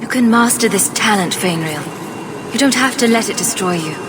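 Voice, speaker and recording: A woman playing a steadfast, compassionate fantasy game heroine, measured and earnest. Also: medium pitch, animated, background noise, natural voice. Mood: firm, earnest. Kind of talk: dramatic video game voice acting.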